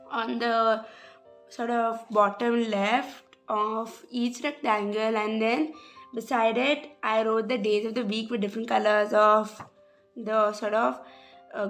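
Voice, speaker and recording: A young woman with a slightly rough voice, hesitantly walking through her journal pages in a casual voice-over. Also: a little high, a bit bright. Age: young adult. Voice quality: hesitant, many "sort of" fillers. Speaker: journal vlogger. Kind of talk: casual craft walkthrough voice-over.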